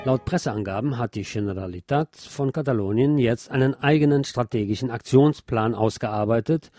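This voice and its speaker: Mellow-voiced man reading the news over music. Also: mid-range voice, no echo, clean studio sound. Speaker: radio news presenter. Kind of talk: scripted news narration with brief asides.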